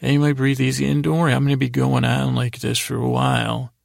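A man hosting a bedtime podcast in a meandering, halting monologue, trailing off mid-thought with gently silly humor. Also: mid-range voice, level tone, creaky, no room sound, studio quality. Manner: silly, mildly absurd humor. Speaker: podcast host. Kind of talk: rambling bedtime storytelling monologue.

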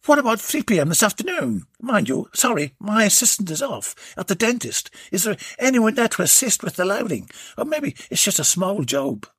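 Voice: medium pitch, very animated, moderate pace, hardly any pauses, fairly bright tone, husky, no room sound, clean studio sound, voice pushed up, heavily acted. A storyteller voicing a jolly, cheeky male rubbish remover who banters and teases. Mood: chirpy. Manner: chirpy, teasing banter.

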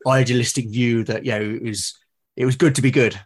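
A man's voice: mid-range voice, fairly even intonation, brisk, slightly rough. A man from Britain speaking as a guest in casual conversation, agreeable and hesitant, repeating words as he thinks. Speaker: podcast guest. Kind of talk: casual conversation about roleplaying games.

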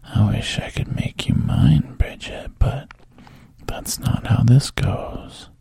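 Coaxing hushed man, breathy and gravelly, low dry whisper, as a narrator puts him on. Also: sing-song, slow, no room sound, exaggerated voice. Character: soft-spoken coaxing adult man.

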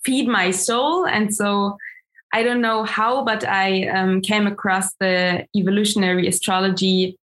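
A woman with a German accent, her first language German, talks slowly in an interview. She pauses on many ums and restarts her sentences as she searches for words. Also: mid-range voice, fairly even intonation, a bit bright. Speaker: guest, astrologer. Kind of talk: interview conversation.

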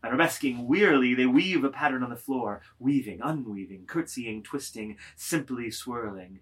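A man's voice: mid-range voice, lively intonation, normal speed, fairly bright tone, slightly rough. A man reading scripted literary narration expressively, with rhythmic, emphatic delivery of lists of words.

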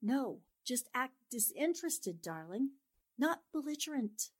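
A woman's voice: high voice, big pitch swings, unhurried, husky, full character voice. An actress playing a sly, bossy, sophisticated newspaperwoman with an arch, coaxing manner in a 1940s-style radio drama. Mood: urgent coaching.

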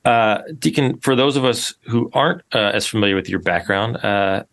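A man's voice: on the low side, normal speed, a bit bright. A man hosting a podcast interview, introducing his guest with many hesitations and fillers. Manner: hesitant, filler-heavy speech. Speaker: podcast host.

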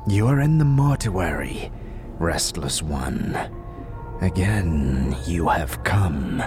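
An ancient, cryptic scribe for an audio drama. The voice is low and ragged with breath, dragging very slowly through solemn phrases that rise and sink widely. Music plays underneath. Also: male voice, animated. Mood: weary, solemn. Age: old. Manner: slow, solemn, halting delivery. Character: ancient, weary, cryptic old male undead-realm scribe.

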